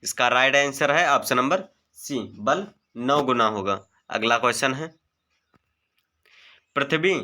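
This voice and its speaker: A man's voice: mid-range voice, sharp tone. A man teaching an exam-prep lesson, methodically reading out multiple-choice questions, their options and the right answers. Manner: methodical, formulaic delivery.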